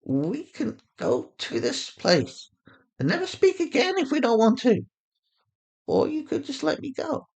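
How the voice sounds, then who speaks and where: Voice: sits high, wildly swooping pitch, unhurried, a little mellow, slightly rough, voice pushed up, heavily acted. A performer voicing a defiant, pleading young woman held captive in an audio drama, urgent and argumentative. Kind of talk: acted audio fiction.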